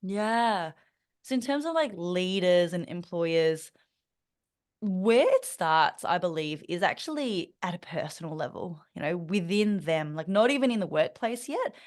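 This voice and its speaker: Earnest Australian woman, low voice. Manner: earnest, thoughtful, many fillers and restarts. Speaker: podcast guest. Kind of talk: conversational interview.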